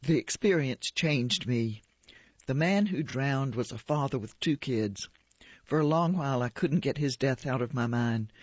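A woman reading aloud as an older Albanian-born photographer recalling a sea rescue, in a plain steady way. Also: mid-range voice, a little slow, no echo. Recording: dull lo-fi radio recording.

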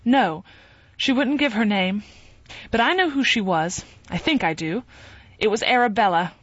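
A woman's voice: mid-range voice, normal speed, husky, no room sound, dull audio, voice pushed up, natural voice. A woman reading an anxious, easily upset young woman in a story, her voice quavering and pleading. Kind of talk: audiobook character reading.

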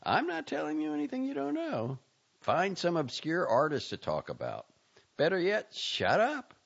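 A man reading as an impatient, sharp-tongued young man who lectures his friend in a raised, loud voice. His pitch leaps about wildly from line to line, and the lo-fi recording has a gravelly, dull edge. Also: wildly swooping pitch, moderate pace, heavily acted. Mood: exasperated.

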